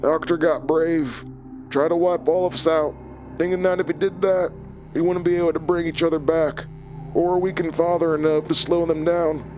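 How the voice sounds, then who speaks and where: A man's voice: a little high, flat delivery, normal speed, darkish tone, soft background music, lightly acted. A man playing a remorseless killer in an audio drama, talking in clipped, callous, matter-of-fact sentences. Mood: cold, matter-of-fact. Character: cold, remorseless killer, an old enemy recounting murders.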